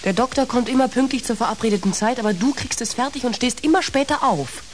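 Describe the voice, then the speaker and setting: An actress playing an earnest, lonely niece in a naturalistic radio drama, with a hesitant, pleading manner. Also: female voice, a little high, quick pace, a little mellow, close to an everyday voice. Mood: reproachful. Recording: hissy radio play recording.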